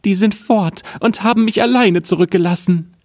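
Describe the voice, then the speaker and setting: A man's voice: high voice, normal speed, a little mellow, no room sound, studio quality, voice pushed up, natural voice. A man voicing a sad young princess in a fairy tale, plaintive and childlike.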